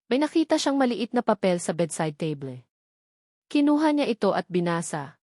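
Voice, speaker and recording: A woman's voice: medium pitch, very animated, moderate pace, a little mellow, no echo, studio quality. A woman with a Filipino accent reads a scripted romance story as its narrator, in a dramatic storytelling manner.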